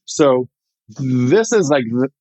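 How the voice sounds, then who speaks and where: A man's voice: mid-range voice, lively intonation, slow, a little mellow, no room sound. A man with an Israeli accent talks through his answer in a loose, rambling way, full of fillers and restarts. His recording is slightly muffled and dry, with no room around it. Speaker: startup founder. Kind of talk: casual interview answer.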